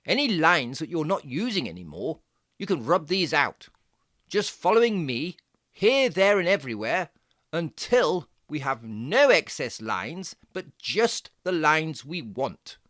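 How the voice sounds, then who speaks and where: A performer voicing a jaunty, fussy fox who gives playful, step-by-step drawing lessons for children in a theatrical, deliberate, encouraging way. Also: medium pitch, sing-song, a bit bright, no echo, studio quality. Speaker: presenter of children's drawing tutorial.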